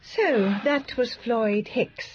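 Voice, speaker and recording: A woman's voice: a little high, extremely slow, lo-fi sound. An actress playing a caring, watchful female companion in a radio drama, solicitous and insistent. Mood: concerned.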